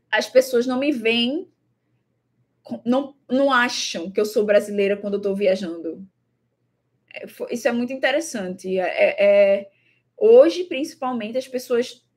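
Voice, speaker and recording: A young Brazilian woman with a Brazilian Portuguese accent talks casually to the camera about her travels, thoughtful and repeating words, with many fillers. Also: fairly high pitch. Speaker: traveling vlogger.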